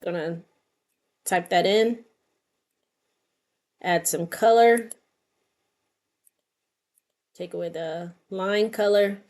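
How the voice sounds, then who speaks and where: A woman's voice: mid-range voice, extremely slow, long pauses, darkish tone. A middle-aged woman narrating an informal step-by-step tutorial, unscripted and thinking aloud as she works. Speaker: craft tutorial host.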